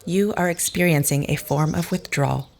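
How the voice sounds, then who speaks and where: A woman's voice: deep voice, moderate pace, gravelly, a little mellow, slight background noise. Actress as cold female healer, aloof, formal, precise, dismissive, detached, audio drama.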